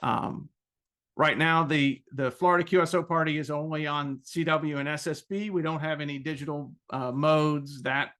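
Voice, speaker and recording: A man giving an informal explanatory presentation as a club president, hesitating often with halting phrasing and frequent ums. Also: fairly high pitch, fairly even intonation, fairly bright tone.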